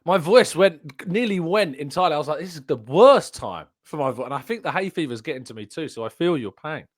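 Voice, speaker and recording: A man's voice: fairly high pitch, animated, a little fast, no echo, clean studio sound. Chatty young man hosting a podcast. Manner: chatty, self-deprecating, laughs often. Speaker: host. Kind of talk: casual football podcast chat. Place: home room, video call. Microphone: studio mic on desk with headphones.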